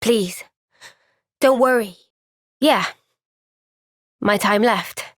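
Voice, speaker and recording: An actress voicing a gentle, kind and steady young woman soldier in a game's cutscenes, with a Welsh accent and a soft-spoken, caring, thoughtful way of talking. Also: mid-range voice, drawn-out words, no echo, studio quality. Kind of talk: video game cutscene dialogue. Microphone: close studio microphone.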